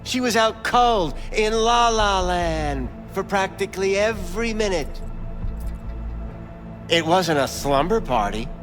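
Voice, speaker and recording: An actor voicing a smug, evasive back-alley implant doctor in a video game, with florid, theatrical phrasing. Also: male voice, high-pitched, expressive, takes it slowly, a bit bright, big-room sound, soft background music, full character voice. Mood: defensive, flustered. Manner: florid, theatrical wording, stammers when pressed.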